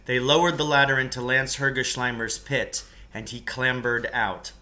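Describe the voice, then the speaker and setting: A man narrates a storybook with a strikingly bright, sharp-edged voice at a middle pitch. His reading is expressive and clearly paced out for listeners following a novel. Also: moderate pace. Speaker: teacher reading a novel aloud.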